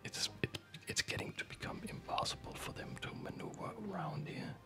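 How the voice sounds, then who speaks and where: A person whispering ASMR commentary while playing a game, breathy and unhurried, murmuring as if to themselves. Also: over music. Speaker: gamer narrating own play.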